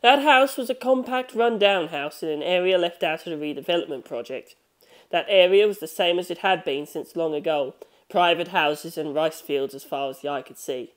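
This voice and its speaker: A man's voice: fairly high pitch, normal speed. A man reading scripted fiction as an audiobook narrator.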